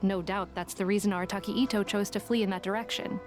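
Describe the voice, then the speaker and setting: A cool, shrewd young woman, voiced by an actress speaking in a measured, dry, matter-of-fact way with a mid-range voice. Loud game music plays behind her. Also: medium pitch, fairly even intonation, lightly acted. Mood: composed. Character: calm, shrewd young woman, coolly businesslike. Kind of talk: video game voice acting.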